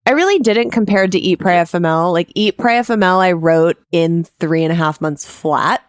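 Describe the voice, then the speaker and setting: Female podcast host, lowish register, candid and unfiltered conversational delivery, dry close recording. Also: lively intonation, moderate pace, no room sound. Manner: candid, casually sweary. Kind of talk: casual podcast chat.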